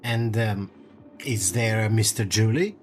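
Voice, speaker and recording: Man acting a slow-spoken, evasive hotel manager. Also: fairly low pitch, slight background noise, lightly acted. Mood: courteous, casual. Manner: hesitant, courteous, measured. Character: polite, evasive male hotel manager, outwardly courteous. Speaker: actor in a radio play. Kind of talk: radio play acting. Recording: loud music behind the voice.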